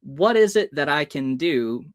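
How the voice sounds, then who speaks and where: A man's voice: fairly high pitch, animated, a little slow, dry recording, studio quality. A man in his thirties from the United States, a hypnotist guest telling stories in an interview and acting out the people in them. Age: 30s. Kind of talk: storytelling in an interview.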